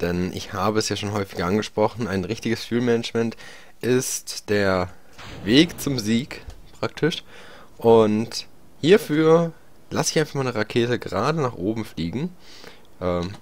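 German gamer with a slight cold. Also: male voice, fairly low pitch. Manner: chatty, hesitant with many fillers. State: slight cold, clearing throat. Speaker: gaming YouTuber. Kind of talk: tutorial gameplay commentary.

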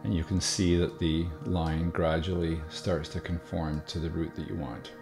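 A man calmly explaining software steps one by one in a screen-recorded tutorial, with the odd filler. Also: deep voice, normal speed, creaky, music in the background. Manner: relaxed step-by-step explaining with fillers. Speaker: video tutorial host.

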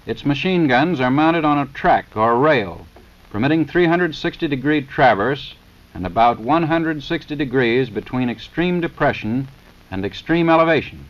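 A man's voice: mid-range voice, animated. American man narrating, dull old film sound. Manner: measured, instructional, matter-of-fact. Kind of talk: formal 1930s scripted narration.

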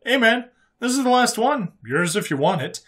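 Breezy human interrogator played by a male narrator, pitched up and swinging, folksy sci-fi storybook good guy. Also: moderate pace, heavily acted. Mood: calm, amused. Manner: folksy, calm, drawling colloquial phrases.

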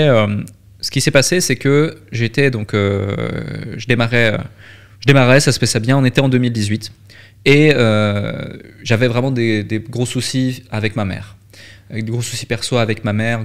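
A young man from Switzerland, a guest on a podcast, telling his story casually with many fillers and restarts into a studio microphone. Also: medium pitch. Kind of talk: candid storytelling interview. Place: dark podcast studio with red light. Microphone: studio mic on boom arm, headphones.

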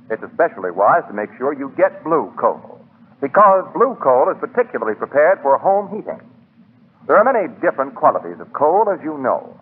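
A man with an American accent announcing formally and emphatically in scripted 1940s radio style. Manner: formal, emphatic pitchman delivery. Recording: muffled husky 1940s radio broadcast.

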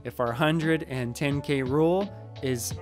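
A man in his thirties teaching audio editing in a relaxed, explanatory way, recorded on a studio microphone in a small home studio. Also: mid-range voice, unhurried, soft background music. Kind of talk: screen-recorded tutorial. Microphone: studio mic in frame, wearing headphones.

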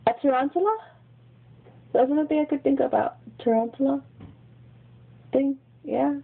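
A woman's voice: high voice, normal speed, creaky. A giggly young woman with a Mexican American accent, chatting casually into a webcam in a small bedroom. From Los Angeles, grew up in Texas. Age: young adult. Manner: giggly, chatty, repeats words. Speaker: vlogger. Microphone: webcam built-in mic. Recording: tinny, lo-fi webcam audio.

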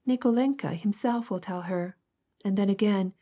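A lively, affectionate young girl comforting her cousin, as a woman reader puts her on. She speaks eagerly and tenderly, close to the reader's own voice. The tone is soft and slightly breathy. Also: mid-range voice, moderate pace, lightly acted.

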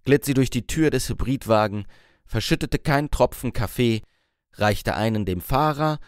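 A man's voice: medium pitch, expressive, moderate pace, no room sound, studio quality. A man reading a scripted story as an audiobook narrator.

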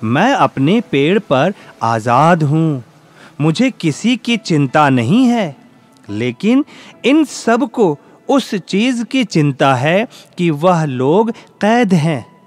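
A man voicing a curious, admiring crow in a children's fable, earnest and expressive. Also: a little high, drawn-out words, faint music behind, full character voice. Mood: content, reflective.

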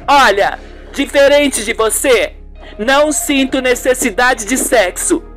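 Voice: high-pitched, takes it slowly, a bit bright, voice pushed up. A performer voicing an irritated, evasive young woman in a radio soap opera, snappy and defensive. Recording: music behind the voice.

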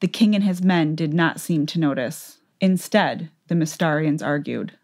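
A woman narrates a fantasy story as in a dramatic audiobook. Her voice is pitched low. The delivery is scripted storytelling. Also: moderate pace, a little mellow.

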